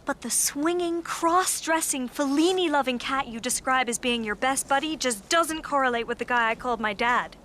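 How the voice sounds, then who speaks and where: An actress playing a guarded, grieving American daughter in a naturalistic radio drama. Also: female voice, high voice, normal speed, hardly any pauses, a bit bright, a little hiss or hum. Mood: defensive, hurt. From United States.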